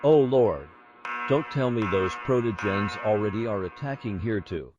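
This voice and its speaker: A man's voice: fairly low pitch, moderate pace, music in the background, close to an everyday voice. Man acting an anxious plant worker, muffled audio. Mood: anxious, urgent. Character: anxious male nuclear plant worker, obedient colleague. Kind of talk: amateur scripted audio drama. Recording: dull lo-fi audio.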